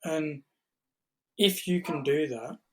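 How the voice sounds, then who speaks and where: Husky, fairly high-voiced podcast co-host man. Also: a bit bright. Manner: measured, encouraging, tells anecdotes. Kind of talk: casual podcast chat.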